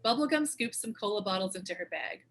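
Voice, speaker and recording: A woman's voice: medium pitch, animated, quick pace, a bit bright. A woman reading screenplay stage directions as scripted narration.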